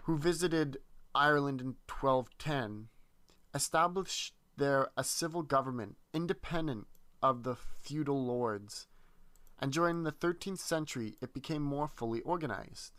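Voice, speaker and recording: A man presenting a history lesson, reading a dense text aloud in a measured way with small slips and restarts. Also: mid-range voice, fairly bright tone, no echo.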